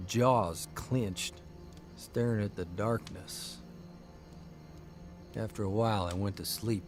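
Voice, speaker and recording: An actor playing a wry, weary newspaper columnist, telling stories in an audio drama in a plain, folksy deadpan. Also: male voice, medium pitch, natural voice. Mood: subdued, weary. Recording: music behind the voice.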